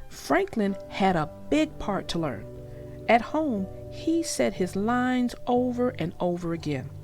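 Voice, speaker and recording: A woman narrating a read-aloud story for young children with deliberate, emphatic phrasing. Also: mid-range voice, animated, a little mellow, soft background music.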